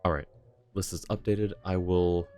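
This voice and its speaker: A man's voice: low-pitched, flat delivery, a little slow. A man doing casual livestream commentary on a video game, rambling and deliberating aloud as he goes. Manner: rambling, deliberating, self-correcting. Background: soft game music.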